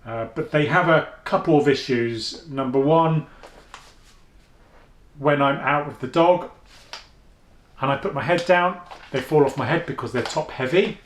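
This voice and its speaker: A man, chatting through a casual headphone review at home and thinking aloud as he goes. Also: reverberant. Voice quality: deep voice. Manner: chatty, thinking aloud, with frequent restarts and fillers. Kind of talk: casual product review vlog. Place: at home, indoors.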